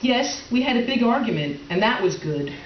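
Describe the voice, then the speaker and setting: Woman acting a brittle wife, lively high voice. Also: normal speed. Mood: guilty, candid. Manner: brittle politeness, dry sarcasm. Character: middle-aged wife, politely sarcastic, tightly wound, resentful. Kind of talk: comic readers theater acting and narration. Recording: roomy, distant, dull, faintly noisy stage recording.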